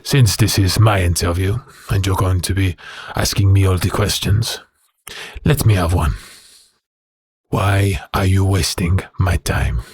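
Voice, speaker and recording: A brooding male werewolf prisoner, speaks very low and slowly. His voice is heavily rasped and husky, with a bitter, haunted weight to every halting phrase, as in a dark audio drama. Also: no room sound, studio quality. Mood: irritated, defiant. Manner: slow, halting, brooding delivery.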